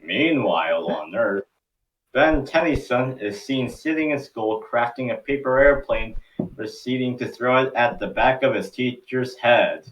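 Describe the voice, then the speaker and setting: A man's voice: medium pitch, unhurried, recorded from a distance, slight background noise, rough recording. A man giving scripted narration for an amateur table read.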